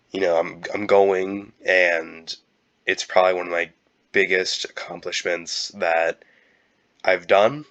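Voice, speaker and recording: A young man with a cold, talking in a halting, casual way with many fillers and restarts. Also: sits low, fairly bright tone, lo-fi sound. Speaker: YouTuber. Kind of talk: casual year-end vlog.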